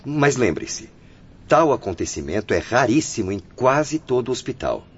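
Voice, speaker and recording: An actor playing a solemn, patient spirit guide in a Brazilian radio drama, with a formal, didactic delivery. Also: male voice, medium pitch, sing-song, normal speed, slightly rough. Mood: earnest. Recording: dull lo-fi radio drama audio with music.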